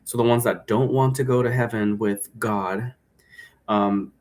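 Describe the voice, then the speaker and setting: A man's voice: mid-range voice, steady tone, measured pace, a little mellow. A man hosting a solo vlog, talking in a chatty, informal, meandering way. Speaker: host. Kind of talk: casual rambling solo vlog. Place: indoor room at home.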